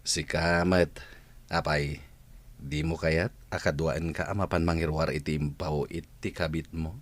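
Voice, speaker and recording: A man's voice: rumbling low, little pitch movement, unhurried, no echo. A man acting a mocking, scheming husband in an Ilocano radio drama. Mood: taunting. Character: mocking, scheming husband of a kept-money wife.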